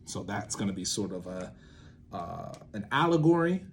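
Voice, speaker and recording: A man with a fairly low voice presents an informal creative lesson, improvising as he goes. He rambles playfully, muttering asides to himself, over some faint background noise. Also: big pitch swings, moderate pace, gravelly. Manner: playful, rambling, thinking aloud with asides to self. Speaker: theatre maker presenting a creative method series. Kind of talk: informal improvised tutorial talk.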